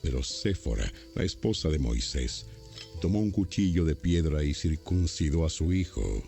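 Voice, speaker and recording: A man's voice: a bass voice, animated, moderate pace, creaky, dark tone, soft background music. A man narrating a dramatized Bible story from a script, as a storyteller between acted parts.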